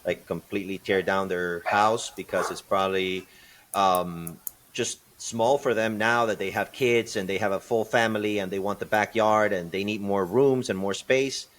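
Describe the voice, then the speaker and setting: Deep-voiced man, thoughtful guest. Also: fairly even intonation, normal speed, a bit bright. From Peru. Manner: thoughtful, many fillers and restarts. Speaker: podcast guest, construction company partner. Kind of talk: conversational interview answers.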